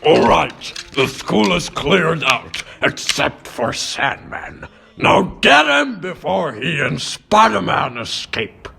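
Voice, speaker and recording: A man playing a blustering, bossy newspaper publisher in a comic dub, gravelly, demanding and indignant. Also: a little high, very animated, slow pace, heavily acted. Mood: indignant, suspicious. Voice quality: gravelly, forceful.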